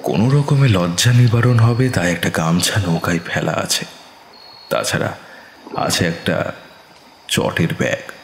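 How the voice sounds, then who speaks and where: A man narrating a scripted ghost story in a slow, suspenseful manner. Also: low-pitched, gravelly, reverberant, a little hiss or hum.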